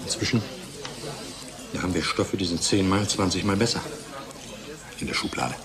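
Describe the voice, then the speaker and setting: Man playing a low, weary research scientist. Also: expressive, normal speed, a crowd in the background. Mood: weary, resigned. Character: defensive, world-weary male research scientist. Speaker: actor in an audio drama. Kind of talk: dialogue radio-play acting.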